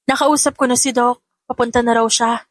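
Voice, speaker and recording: A woman's voice: fairly even intonation, brisk, no room sound, close to an everyday voice. A woman voicing an anxious, caring female hospital nurse who speaks with urgency. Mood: soothing. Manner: urgent, high, calling out.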